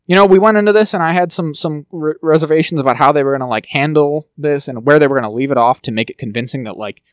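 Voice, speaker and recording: A man co-hosting a podcast, chatting casually and quickly, with lively asides and quick mock voices. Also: on the high side, no echo, studio quality. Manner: fast, animated, breaks into quick mock impressions. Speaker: podcast co-host. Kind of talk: casual chat about TV shows.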